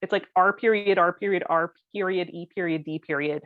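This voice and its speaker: A woman's voice: on the low side, fairly even intonation, brisk, dry recording, phone-quality sound. A woman co-hosting a podcast, sharing personal stories in a casual, candid, conversational way. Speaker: podcast co-host. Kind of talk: casual chat, personal anecdotes.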